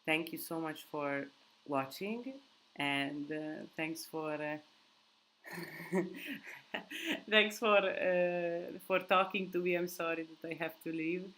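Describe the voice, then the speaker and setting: A woman's voice: on the low side, slow, pauses often, fairly bright tone. A woman hosting a live Q&A in English that is not her first language, rambling casually with many restarts and fillers. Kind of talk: casual live-stream chat with viewers. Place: countryside home.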